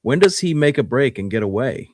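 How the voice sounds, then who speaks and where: A man's voice: mid-range voice, big pitch swings, a little fast. A man co-hosting a casual talk show, adding questions and dry, understated remarks. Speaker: co-host.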